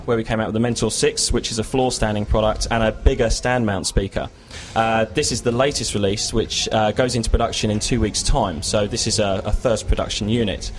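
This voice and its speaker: A man giving an informal, explanatory product presentation in an interview, with a hesitant and lightly joking manner. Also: mid-range voice.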